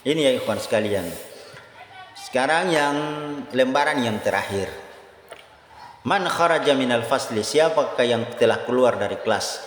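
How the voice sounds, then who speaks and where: Indonesian guy teacher, medium pitch, reads phrases out then explains, really echoey reverby room. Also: lively intonation, normal speed, long pauses. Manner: didactic, repeats phrases and asks himself questions. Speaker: Arabic language teacher. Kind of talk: lesson, reading phrases aloud then translating and explaining.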